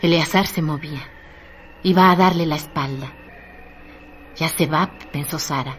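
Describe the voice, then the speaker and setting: A woman's voice: sits low, moderate pace, a bit bright. A woman giving a dramatic, literary narration as the narrator of a radio drama. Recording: noisy radio drama track.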